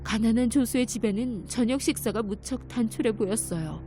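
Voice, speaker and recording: Gentle, slightly high female narrator for a kids' story, over music. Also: moderate pace.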